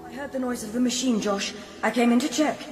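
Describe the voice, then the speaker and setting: An actress playing a brisk, reassuring young female clinic therapist in a radio drama, matter-of-fact and soothing. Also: on the high side, over loud music, close to an everyday voice. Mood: shaken.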